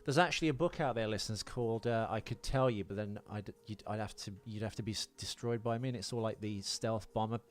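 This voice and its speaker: A man's voice: on the low side, fast, hardly any pauses. A man co-hosting a podcast, chatting casually about a film in a musing, wandering way. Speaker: podcast co-host. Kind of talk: casual film commentary chat.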